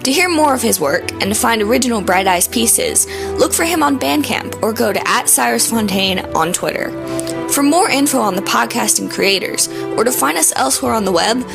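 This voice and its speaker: High, creaky-voiced woman reading credits. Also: animated, moderate pace. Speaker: credits announcer. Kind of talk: scripted podcast credits narration. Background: music.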